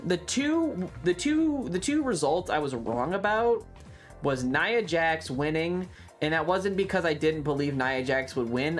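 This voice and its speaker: A livestream host chatting casually and emphatically, rambling and swearing freely. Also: mid-range voice. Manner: swearing, emphatic, animated ranting.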